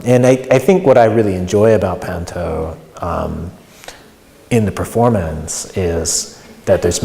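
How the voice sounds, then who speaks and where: Very deep, creaky man in an echoing hall. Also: warm tone. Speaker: amateur pantomime performer being interviewed. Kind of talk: casual interview answers. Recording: long echoing hall reverb.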